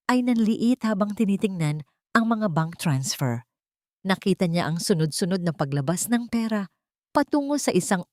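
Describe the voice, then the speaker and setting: Female Filipino-accented audiobook narrator, slightly low register, close dry recording, dramatic scripted storytelling. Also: darkish tone, no echo.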